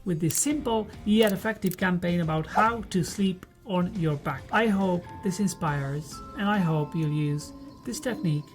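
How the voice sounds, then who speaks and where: A woman giving an enthusiastic promotional pitch for an agency's campaign. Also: unhurried, soft background music. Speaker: agency marketer in an ad clip.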